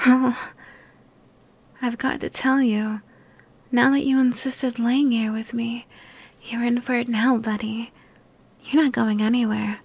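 Slow, husky whispering young woman. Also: on the high side. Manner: soft, affectionate whispering. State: sick and worn out. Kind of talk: whispered ASMR girlfriend roleplay.

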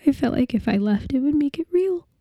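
A young woman playing a grieving partner in a dramatic monologue, with a halting, tearful delivery full of restarts. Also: sits high, animated, dark tone, no echo.

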